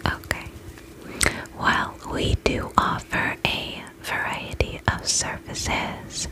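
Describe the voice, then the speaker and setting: A woman in her twenties doing a soothing, attentive ASMR roleplay as a spa attendant, whispering softly close to the microphone. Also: low-quality recording. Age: 20s. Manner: soft, soothing, attentive customer-service patter. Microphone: close microphone, face near camera.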